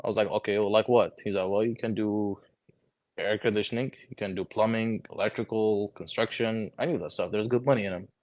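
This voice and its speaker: Young man from Lebanon, low voice, laid-back storyteller, casual talk with lots of "bro". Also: fairly quick, highs cut off. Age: 20s. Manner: relaxed storytelling, lots of "bro" and "like", acts out quoted conversations. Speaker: guest, HVAC technician. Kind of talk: casual podcast chat.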